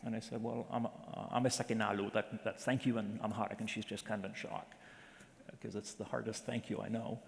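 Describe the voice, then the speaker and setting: A man's voice: on the low side, flat delivery, fairly quick, vocal fry. A man giving an informal, conversational talk to a church congregation, telling stories with many fillers and restarts. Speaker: missions speaker at a church presentation. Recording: reverberant hall.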